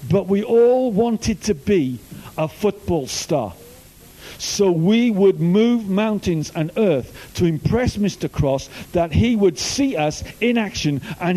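A man's voice: sits high, mellow, low-quality recording. A man from Wales, a youth minister giving a casual, joking sermon full of stories. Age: older. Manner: chatty, jokey storytelling. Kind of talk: informal sermon.

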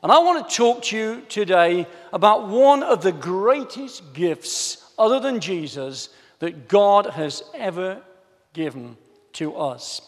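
A man preaching a sermon, reading scripture slowly and then chatting eagerly to the congregation. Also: high-pitched. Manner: animated, enthusiastic, chatty asides to the congregation. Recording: hall reverb.

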